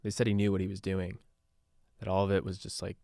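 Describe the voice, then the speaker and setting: A low-pitched, embittered radio DJ played by a male actor records a confessional account for a podcast drama. He talks conversationally, weary and sardonic, in a very dry, close sound. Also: monotone, quick pace, creaky, mellow, no room sound. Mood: grave recounting. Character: male radio DJ turned secretive investigator, embittered and haunted. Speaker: radio DJ narrating a taped case file.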